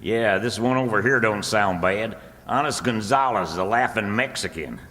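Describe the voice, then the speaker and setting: An actor playing an earnest, gullible small-town rustic schemer, folksy and homespun, in old-time radio comedy. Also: male voice, lowish voice, moderate pace, pushed and bright. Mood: curious. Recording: echoey hall with long reverb.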